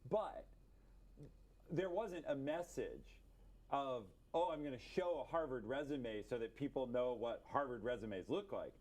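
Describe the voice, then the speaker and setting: A middle-aged man in a casual discussion on a stream, explaining things in a measured way. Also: mid-range voice, animated. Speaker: livestreamer with a career advice show. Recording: loud gunfire and game noise. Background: video game gunfire and artillery.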